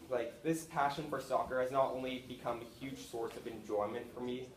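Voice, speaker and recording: A man's voice: medium pitch, brisk. Earnest teenage boy giving a speech. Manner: earnest, steady, with small restarts. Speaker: student speaker. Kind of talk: prepared speech to classmates. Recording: echoey room with faint noise.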